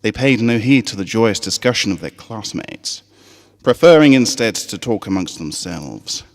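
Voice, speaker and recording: A man reading a story aloud as an expressive narrator, with a long hall-like reverb on the recording. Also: fairly low pitch, moderate pace. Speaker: fan fiction reader.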